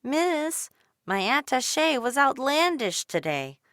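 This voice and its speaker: A woman voicing an eager young girl who loves big words, talking in an excited, pleading way. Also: high-pitched, slow pace, no room sound, clean studio sound, pitched above the performer's own voice, heavily acted.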